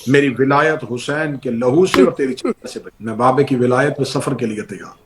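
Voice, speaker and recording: A man's voice: mid-range voice, moderate pace, natural voice. A man preaching who voices a grieving noble woman, speaking tearfully and pleading.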